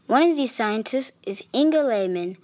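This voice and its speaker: A woman's voice: on the high side, animated, moderate pace, darkish tone, no room sound. A woman co-host giving scripted science narration for kids with upbeat quips.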